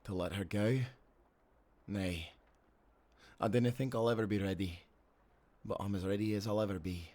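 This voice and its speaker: An actor playing a tender, grieving old sailor with a Scottish accent in an audio drama. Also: male voice, on the low side, steady tone, normal speed, long pauses, darkish tone, natural voice. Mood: somber, gentle.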